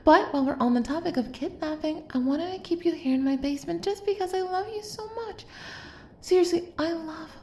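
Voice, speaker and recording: A woman's voice: extremely high pitch, a little fast, darkish tone, echoey room, a little hiss or hum. A woman playing an obsessive, doting young female kidnapper in an intimate roleplay audio, speaking straight to the listener in a cutesy, gushing, coaxing way. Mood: adoring.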